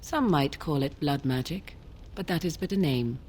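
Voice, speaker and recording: An actress playing a mysterious, guarded young sorceress in a fantasy game, speaking in a cool, formal, archaic manner. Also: female voice, low-pitched, moderate pace, darkish tone, slight background noise, close to an everyday voice. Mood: cryptic, measured. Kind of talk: fantasy video game dialogue.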